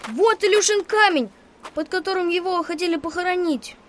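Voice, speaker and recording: A woman playing an excited schoolboy who exclaims his words in an audio drama. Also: very high voice, moderate pace, a little hiss or hum.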